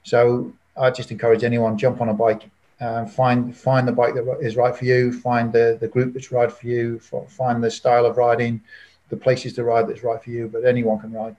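A man, speaking in a level, steady tone. He chats casually and encouragingly, often repeating words as he goes. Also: mid-range voice, moderate pace, highs cut off. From New Zealand. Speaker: podcast panelist. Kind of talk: casual chat.

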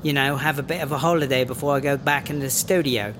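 A man's voice: medium pitch, fairly even intonation, fast, noisy background, close to an everyday voice. A man playing a wary, sly British rapper who smuggles on the side, in a comedic audio drama, with a British accent. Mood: evasive.

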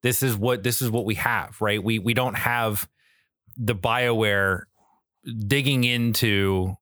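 A man's voice: lowish voice, steady tone, takes it slowly, a bit bright, no echo, studio quality. A man co-hosting a podcast, chatting casually and emphatically in slangy, mildly profane language. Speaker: podcast co-host. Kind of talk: casual chat about video games and media.

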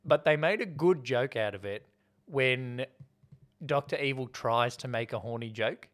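A man's voice: mid-range voice, a little slow, a bit bright. A man co-hosting a casual movie review chat, joking and laughing often. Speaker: podcast co-host.

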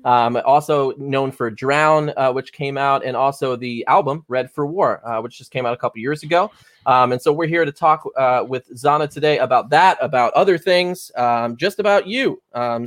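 A man's voice: mid-range voice, normal speed, a bit bright. A man hosting a podcast interview, speaking casually with frequent "uh" and "um" fillers. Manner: hesitant, many "uh" and "um" fillers. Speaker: podcast host.

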